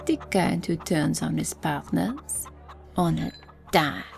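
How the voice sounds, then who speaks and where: Slow, gravelly Cajun bayou woman played by an actress. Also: low-pitched, animated, music in the background, exaggerated voice. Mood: cool, insistent. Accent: Louisiana Cajun. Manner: slow, dialectal, incantatory storytelling. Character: streetwise, superstitious Cajun bayou woman, loyal and quietly menacing. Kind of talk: dramatic audio drama storytelling.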